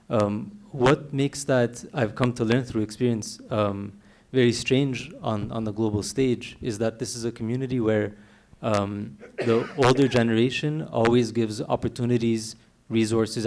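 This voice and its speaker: A young man from Canada whose first language is Armenian, answering thoughtfully on a panel with many pauses and ums. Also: lowish voice, a little mellow.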